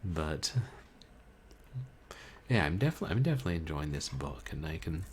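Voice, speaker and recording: A man streaming a book reading, chatting casually with his chat in a calm, musing, halting way. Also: a bass voice, slight background noise. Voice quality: calming voice. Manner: musing, soft-spoken, halting. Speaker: streamer reading books aloud.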